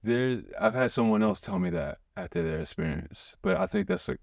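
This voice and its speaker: A man hosting a podcast in an excitable, rambling way, full of restarts and fillers. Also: low-pitched, moderate pace, vocal fry, dry recording, studio quality. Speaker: podcast host. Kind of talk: casual chat.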